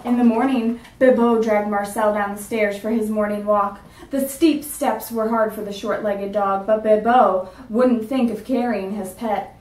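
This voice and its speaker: A woman teacher reading a picture book aloud in a clear, measured storytelling manner. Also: medium pitch, steady tone, a little mellow. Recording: roomy sound from a distance.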